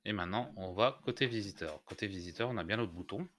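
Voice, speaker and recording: Deep-voiced man giving a tutorial. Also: fast talker, fairly bright tone. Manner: hesitant, step-by-step explaining. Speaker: tutorial host. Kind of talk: unscripted software screencast tutorial narration.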